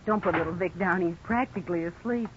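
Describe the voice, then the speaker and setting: A woman's voice: on the low side, quick pace, close to an everyday voice. A woman playing a sweet, affectionate young wife in a 1950s radio drama. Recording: muffled, noisy 1950s radio recording.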